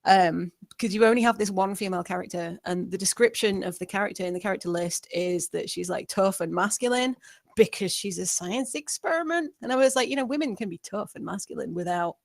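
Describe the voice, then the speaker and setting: A woman giving casual feedback as a panelist, friendly, rambling and softening her points. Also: mid-range voice, fairly quick, gravelly, dry recording, clean studio sound. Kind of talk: casual chat.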